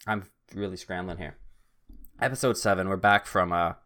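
A man's voice: sits low, normal speed, pushed and bright. A young man hosting his own podcast, talking in a rambling, self-mocking way and often wandering off topic. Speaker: podcast host. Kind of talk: casual solo podcast monologue. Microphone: podcast microphone.

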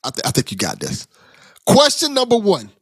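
Lively higher-voiced male podcast host. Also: moderate pace, breathy edge, no room sound. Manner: bantering, affectionate with guest. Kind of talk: casual interview chat.

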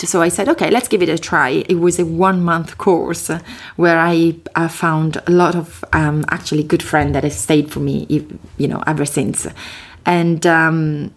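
A woman from Italy with an Italian accent, a podcast guest talking in a lively, expressive way with quick bursts and hesitations. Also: sits low, slightly rough. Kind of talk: casual interview talk.

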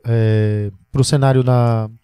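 A man hosting a casual podcast, chatting in a relaxed way with short agreeing remarks. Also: mid-range voice, slow pace, clean tone, dry recording. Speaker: podcast host, youtuber.

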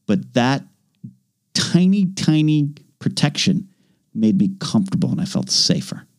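A man's voice: medium pitch, big pitch swings, measured pace. A man co-hosting a casual podcast, talking in a rambling, self-deprecating way with many restarts. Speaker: podcast co-host. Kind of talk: casual chat.

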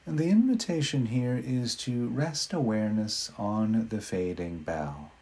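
A man leading a guided meditation in a gentle, unhurried manner with long pauses between phrases. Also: fairly low pitch, expressive.

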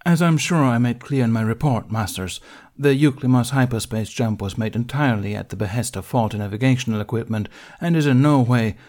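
A man's voice: mid-range voice, fairly quick, darkish tone, lightly acted. A man voicing a witty young knight under scrutiny. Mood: formal. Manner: polite, formal deflecting. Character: young male knight, witty but chastened. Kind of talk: audiobook reading of fan fiction.

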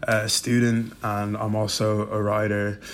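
Young Irish man, podcast guest, low level tone, earnest and steady, slight background hiss. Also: unhurried. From Ireland. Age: young adult. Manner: earnest, steady delivery with many "you know" fillers. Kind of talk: casual podcast discussion.

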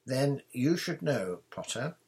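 A man's voice: medium pitch, very animated, slow pace, darkish tone, slightly rough, voice pushed up, full character voice. A man voicing a stern older woman who teaches magic, in a precise, dry, matter-of-fact storybook manner.

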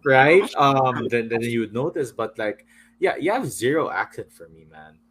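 A man's voice: medium pitch, expressive, moderate pace, fairly bright tone, breathy edge. A man hosting a casual chat, frank and teasing with his guest. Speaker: host.

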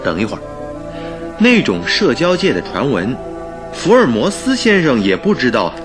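A man's voice: medium pitch, huge pitch swings, moderate pace, music in the background. An actor playing a curious, good-natured newlywed male doctor in a radio drama, with a conversational, inquisitive manner. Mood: curious, thoughtful.

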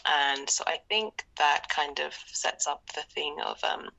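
A young woman from Zimbabwe, talking reflectively as a podcast guest, with many fillers and often trailing into breathy near-whispers. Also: deep voice, unhurried, pushed and bright, poor audio. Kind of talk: casual interview conversation.

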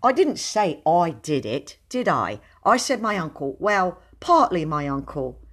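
A woman's voice: lowish voice, very animated, a little slow, slightly rough, exaggerated voice. A woman reading aloud voices a boastful young boy who tells tall tales in breathless run-on sentences. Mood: modest. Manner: bragging, breathless run-on storytelling.

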